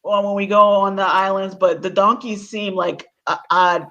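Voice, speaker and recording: A woman's voice: medium pitch, fairly even intonation, normal speed, a bit bright. Chatty woman host on a livestream, laughs a lot, kinda dull lo-fi sound. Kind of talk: casual livestream interview.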